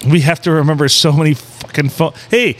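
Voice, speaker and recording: A man's voice: fairly high pitch, brisk. A man co-hosting a casual podcast from a small tavern, sounding a bit nasal, joking, laughing and swearing freely. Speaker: podcast co-host. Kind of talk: casual bar podcast banter.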